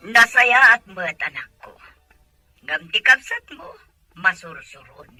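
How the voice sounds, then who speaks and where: A woman's voice: on the high side, lively intonation, takes it slowly, sharp tone, soft background music, heavily acted, poor audio. A woman playing a fretful, confiding mother in a radio serial. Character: mother fretting over her upset daughter. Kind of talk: Philippine radio serial acting.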